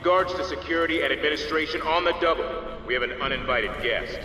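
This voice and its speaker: An actor playing a stern security announcer in a video game, giving clipped, official loudspeaker announcements. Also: male voice, on the high side, fast, very noisy. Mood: official alert. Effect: loudspeaker announcement echo. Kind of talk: formal public-address announcement, video game acting. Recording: echoey hall from far off, music behind. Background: music.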